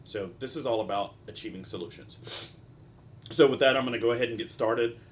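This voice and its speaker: A man with a stopped-up nose hosting a solo podcast in an informal, halting and discursive way, often drifting into asides. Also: medium pitch. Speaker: podcast host, mental health practitioner.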